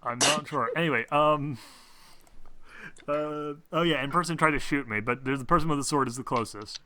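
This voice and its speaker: A man narrating a tabletop game as game master in a casual, halting way, improvising and correcting himself as he goes. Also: on the high side, pushed and bright, a little hiss or hum.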